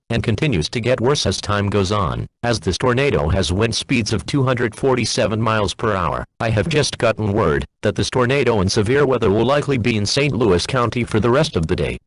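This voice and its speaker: A man performs a grave male announcer for a scripted emergency news update. The voice is somewhat low, and the delivery stays formal and serious. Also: rough recording.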